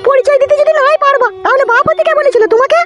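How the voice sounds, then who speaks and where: A woman voicing a protective, reproachful village mother in a comedy cartoon, with a shrill pitched-up voice. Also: rapid-fire.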